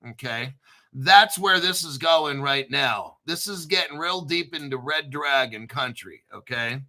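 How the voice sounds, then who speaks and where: A man's voice: on the high side, animated, a little slow, sharp tone. A man hosting his own crypto channel, talking casually and conspiratorially, spelling out names letter by letter and swearing now and then. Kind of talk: casual solo commentary.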